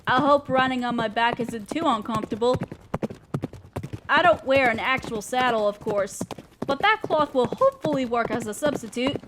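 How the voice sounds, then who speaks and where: A gentle, doting centaur woman, as a woman acts her out speaks in a high voice with a soft Southern lilt. She fusses over wounds and drifts into apologetic little rambles. It's a fantasy audio roleplay. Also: expressive, normal speed, noisy background, close to an everyday voice. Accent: Southern US, put on. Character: kind gentle female centaur traveler and healer. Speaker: caretaker tending wounds.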